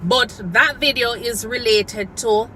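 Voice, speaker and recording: A woman's voice: fairly high pitch, takes it slowly, bright, forceful tone, slight background noise, rough recording. A woman from Jamaica with a Jamaican accent, a nurse giving earnest advice in a casual vlog and slipping into patois phrases. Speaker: nurse and nursing coach. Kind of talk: casual vlog talk to camera.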